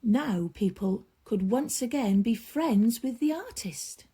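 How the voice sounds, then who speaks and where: A woman storyteller reading a children's bedtime story aloud, expressively, with drawn-out emphasis and hushed drops. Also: a little high, lively intonation, breathy edge.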